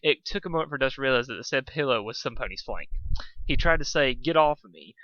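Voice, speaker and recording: A man's voice: medium pitch, animated, fast talker, bright, forceful tone, dry recording. A man narrating a story informally as an amateur reader, stumbling over words and breaking off for asides. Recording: dull, lo-fi home recording.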